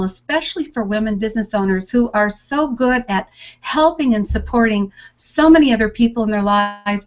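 A woman's voice: medium pitch, lo-fi sound. A woman hosting an online business show, delivering introductions and sponsor messages in an upbeat, promotional way. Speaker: host.

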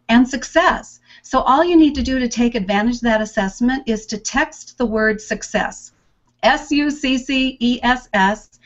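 Female host, upbeat promo read, slightly high voice, dull lo-fi web call sound. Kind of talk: online show hosting.

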